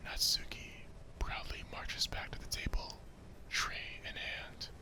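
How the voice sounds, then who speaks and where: A man reading visual novel narration in a hushed, deliberate ASMR whisper.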